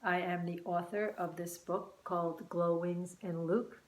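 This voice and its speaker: A woman reading a children's story expressively and deliberately, with dramatic pauses. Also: fairly low pitch, steady tone. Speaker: author and teacher reading own book. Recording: roomy, echoing room sound.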